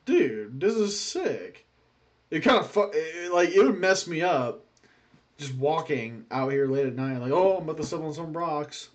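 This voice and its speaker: A man's voice: fairly high pitch, lively intonation, normal speed. Blunt, slangy male reaction host. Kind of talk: casual reaction commentary.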